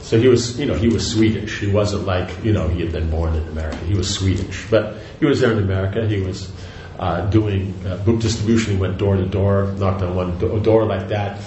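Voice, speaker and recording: Older guy lecturing, low voice, rambly and chatty, echoey room. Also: fairly quick, few pauses, warm tone, muffled recording. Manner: rambling, conversational, frequent "you know" and restarts. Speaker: spiritual teacher. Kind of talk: devotional lecture. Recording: echoey room with faint noise.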